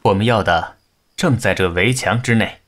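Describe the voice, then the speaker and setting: A man's voice: on the low side, moderate pace, lightly acted. A man playing a courteous, earnest negotiator of ancient China in a costume audio drama, formal and serious.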